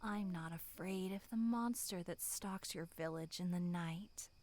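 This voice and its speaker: A woman voicing a sinister, playful demonic monster in dark ASMR, breathy, half-whispered, purring and teasing. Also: lowish voice, measured pace, lightly acted. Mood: amused, menacing. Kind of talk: dark ASMR horror roleplay.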